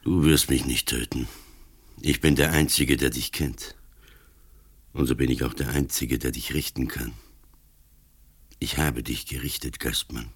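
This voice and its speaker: Husky, very deep old commissioner. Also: male voice, full character voice. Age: older. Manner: measured, solemn, pronouncing judgement. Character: old, grimly resolute police commissioner. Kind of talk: German radio play acting.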